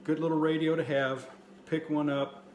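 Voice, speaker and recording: A man's voice: fairly high pitch, level tone, normal speed. A man hosting a casual video review, talking to viewers in a plainspoken, folksy way. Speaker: host of a prepper video channel. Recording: roomy echo, light background noise.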